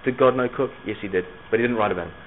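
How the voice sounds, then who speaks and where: A man with a softened Scottish accent and a touch of New Zealand, preaching to young people with rhetorical questions, pauses and emphatic repetition. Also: on the low side, slight background noise. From Scotland, moved to New Zealand as a schoolboy. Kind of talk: evangelistic sermon to young people. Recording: narrow, phone-like lo-fi sound.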